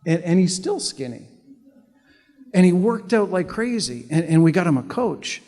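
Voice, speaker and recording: Male speaker from Canada, sarcastic stump-speech delivery, voice on the higher side, reverberant hall. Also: very animated, moderate pace, dark tone. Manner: sarcastic, conversational, repeats words when restarting. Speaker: public speaker. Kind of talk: political speech to an audience.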